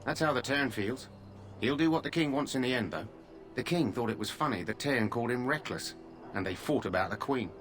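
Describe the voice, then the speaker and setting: An actor playing a courteous royal guard who talks too freely. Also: male voice, mid-range voice, lively intonation, a little fast, fairly bright tone, close to an everyday voice, low-quality recording. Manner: polite, overly talkative. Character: loose-lipped male royal guard.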